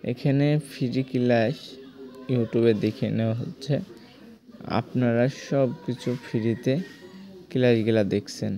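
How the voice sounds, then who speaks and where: A man's voice: mid-range voice, mellow, rough recording. A man who teaches cartoon animation talks viewers through a drawing step by step, repeating himself and checking that they follow. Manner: repetitive, coaxing, addresses viewers directly. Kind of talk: screen-recorded drawing lesson.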